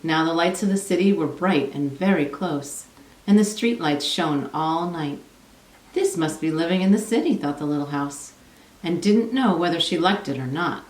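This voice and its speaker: A woman's voice: fairly low pitch, lively intonation, normal speed, a little mellow. A woman reading a children's storybook aloud as narrator. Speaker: storybook reader. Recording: echoey room, distant from mic.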